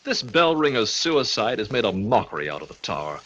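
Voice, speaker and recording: An actor playing a guilt-haunted man in a 1940s radio thriller, melodramatic and anguished, moving between hushed narration and frantic outbursts. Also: fairly low pitch, sing-song, brisk, highs cut off, exaggerated voice. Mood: pleading, bitter. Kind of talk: 1940s radio suspense acting, first-person narration. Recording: old 1940s radio broadcast, music behind.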